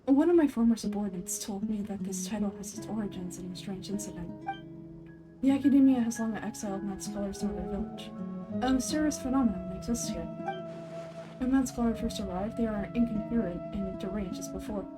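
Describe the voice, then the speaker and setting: A woman's voice: medium pitch, a little fast, over music, close to an everyday voice, poor audio. Woman as a solemn villager telling a legend. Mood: solemn, storytelling.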